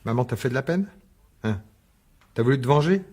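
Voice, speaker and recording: A man's voice: mid-range voice, lively intonation, a little fast, darkish tone, slightly rough. An actor playing a worried, suspicious father in a French radio drama, insistently questioning and stammering over repeated words. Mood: puzzled. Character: worried, suspicious divorced father, stern with his daughter.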